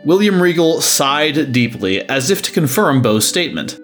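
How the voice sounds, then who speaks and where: A man's voice: on the high side, big pitch swings, moderate pace, a bit bright, faint music behind. A man narrating a scripted story.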